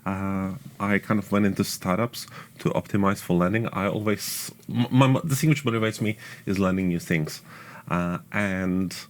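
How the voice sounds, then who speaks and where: A man from Australia speaks in a lowish voice as an engineering manager on a panel. He talks in a chatty, meandering way, stopping to correct himself and filling pauses with small sounds. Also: a little hiss or hum. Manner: chatty, self-correcting, many fillers. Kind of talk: panel discussion answers.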